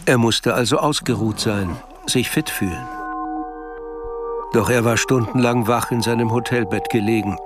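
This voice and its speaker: Measured male radio play narrator. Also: medium pitch, music in the background.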